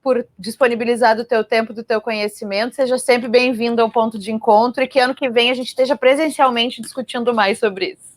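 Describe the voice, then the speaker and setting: A woman's voice: a little high, fairly even intonation, a little fast, no echo. A Brazilian woman hosting and interviewing in a conversational style on a live arts programme.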